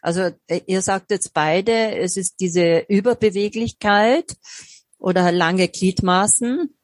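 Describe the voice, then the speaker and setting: A woman's voice: medium pitch, takes it slowly, dry recording, studio quality. A woman hosting an interview, asking hesitant questions with many fillers and frequent backchannels.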